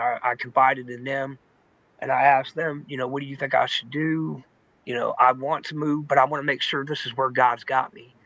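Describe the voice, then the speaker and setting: A man's voice: medium pitch, vocal fry, bright, forceful tone, no room sound, lo-fi sound. A man giving a personal testimony in an informal, candid, conversational storytelling way, saying "man", "dude" and "you know" often. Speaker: testimony guest in recovery.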